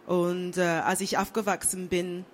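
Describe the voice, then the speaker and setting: A woman born and raised in London, speaking as a guest on a panel, thoughtful and pausing often with fillers. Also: fairly low pitch, little pitch movement, slight background noise. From England. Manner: thoughtful, many fillers and restarts. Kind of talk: panel discussion.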